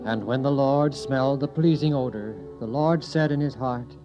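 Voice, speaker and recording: A man narrates a scripted Bible drama in a storybook style. His voice sits at a medium pitch, recorded a little dull, with music clearly playing behind it. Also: moderate pace, dark tone.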